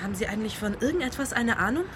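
A woman's voice: on the high side, animated, racing, noisy background, natural voice. A woman playing a demanding, aggrieved patient in a radio play, indignant and snappish. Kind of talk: radio drama dialogue.

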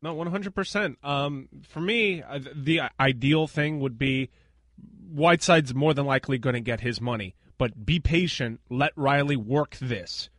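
Man, middle pitch, measured, thoughtful, sports radio panelist, dry sound. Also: a bit bright, dry recording. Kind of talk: sports talk debate.

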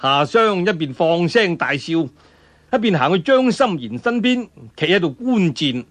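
Animated high-pitched Cantonese male storyteller. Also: unhurried. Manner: dramatic, colloquial, with spoken sound effects and interjections. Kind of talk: traditional Cantonese storytelling, animated martial-arts narration.

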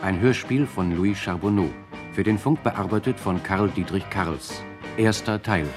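A man's voice: deep voice, measured pace. A man formally announcing the titles of a radio drama. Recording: music behind the voice.

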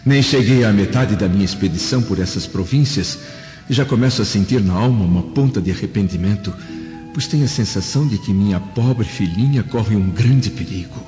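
A man's voice: fairly low pitch, fairly quick, natural voice. An actor playing a troubled Roman senator and father in a radio drama, lamenting and declamatory. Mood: anguished regret. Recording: echoing hall effect, dull, loud music.

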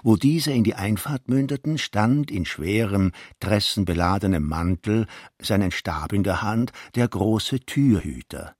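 A man narrates literary prose for a radio production. His voice sits low and has a husky grain. He reads in a formal, measured way, recorded very dry and close to roomless. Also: expressive, dry recording.

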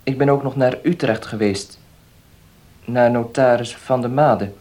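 Guy acting downcast dutiful nephew, formal measured talk, middle pitch, radio drama. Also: darkish tone, close to an everyday voice. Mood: defeated, solemn.

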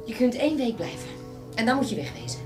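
A woman's voice: fairly high pitch, sing-song, quick pace, music in the background. An actress playing a shrewd, commanding woman swindler in a Dutch radio drama. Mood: curt, businesslike. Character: shrewd, commanding female swindler and gang leader.

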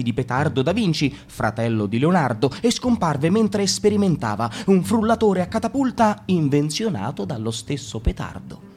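Theatrical guy doing a fake art lecture, radio play, bit of music behind. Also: mid-range voice. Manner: theatrical, mock-lecturing, swings in pace. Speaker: narrator. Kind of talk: comic radio drama reading.

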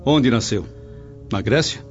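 A man's voice: medium pitch, animated, unhurried, lightly acted. An actor playing a brooding, apprehensive Roman nobleman in a radio drama, with formal, earnest, theatrical diction. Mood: inquisitive. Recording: dull audio over music bed.

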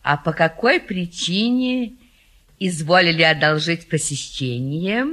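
An actress playing a polite, matronly middle-aged aunt receiving guests in a stage comedy, formal and ceremonious. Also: female voice, fairly low pitch, a bit bright. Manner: ceremonious, measured. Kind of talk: classic stage comedy acting.

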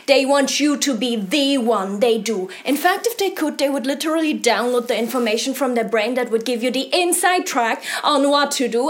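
A woman playing a peppy, pushy audition coach, giving an emphatic, salesy promotional pitch. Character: pushy, peppy female audition coach selling a video class. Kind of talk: English-language promotional pitch.

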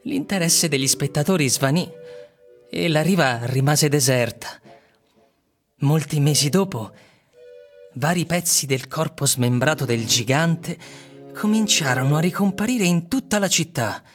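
Grave storyteller, slightly high male voice, deliberate literary reading, quiet music under it. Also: animated. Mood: sombre, measured. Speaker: narrator. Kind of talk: literary scripted narration with music.

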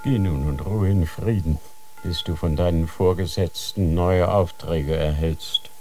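An actor playing a stern elderly grand inquisitor in a radio drama, grave and commanding. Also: male voice, low voice, measured pace, dark tone, a little hiss or hum. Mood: solemn.

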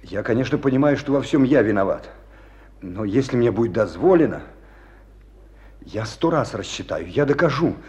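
Actor as earnest young officer, very expressive. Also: male voice, medium pitch, natural voice. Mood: pleading, fervent. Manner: halting, earnest, swinging from subdued to fervent. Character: proud, earnest young male officer cadet, stubborn, passionate. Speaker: actor in a radio drama. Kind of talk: Russian radio play acting.

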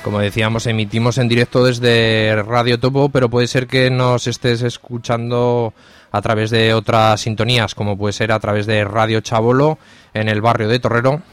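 A man's voice: medium pitch, flat delivery, moderate pace, faint music behind. A man with an accent from Spain presenting a live community radio show in an informal, hesitant way. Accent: Spanish (Spain). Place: radio studio.

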